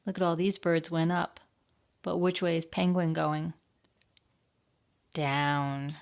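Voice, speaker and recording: A woman reading a picture book aloud to young children at a library storytime, expressively, pausing to ask them questions. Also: deep voice, flat delivery.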